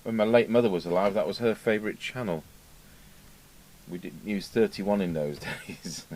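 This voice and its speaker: Low-voiced british bloke showing stuff at his workbench, thinking out loud, clear background noise on the recording. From United Kingdom. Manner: unscripted, musing aloud, hesitant pauses. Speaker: radio repair technician. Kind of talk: informal hands-on workbench demonstration. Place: indoor radio repair workbench. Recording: clearly noisy home workshop recording.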